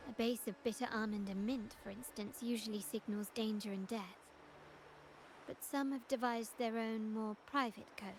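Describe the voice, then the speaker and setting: An actress playing a composed, cool young noblewoman in a video game, speaking in a measured, articulate and determined way. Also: mid-range voice, natural voice. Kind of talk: video game dialogue acting. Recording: game music clearly behind the voice. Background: game music.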